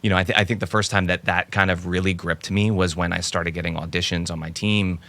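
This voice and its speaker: Podcast host, man about 40, very low pitch; relaxed, easygoing questions. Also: fast. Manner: frequent short affirmations, relaxed questions. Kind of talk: casual interview.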